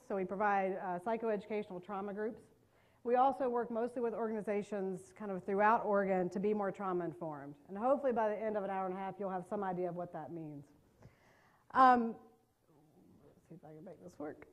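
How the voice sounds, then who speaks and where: Woman, Southern accent, middle-aged, talks quickly, jokes with the audience, mid-pitched voice. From North Carolina. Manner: fast-talking, chatty, self-deprecating jokes. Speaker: trauma care trainer. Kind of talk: presentation to a live audience. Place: meeting room with an audience.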